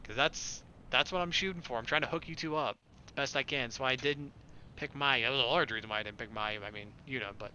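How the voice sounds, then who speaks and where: A man's voice: mid-range voice, fast, pushed and bright, dull audio, a little hiss or hum. A man reading visual novel text aloud as a let's play host, adding slangy, irreverent casual commentary.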